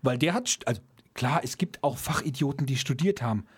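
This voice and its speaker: A man's voice: mid-range voice, expressive, brisk, breathy edge. A man chatting casually as co-host, blunt and ranting in colloquial speech. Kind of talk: casual chat.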